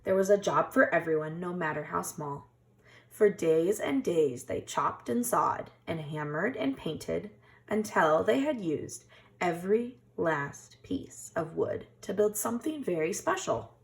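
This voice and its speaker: A woman teacher reading a children's storybook aloud in an expressive, dramatic way. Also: fairly low pitch, unhurried, vocal fry. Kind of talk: scripted children's storybook narration.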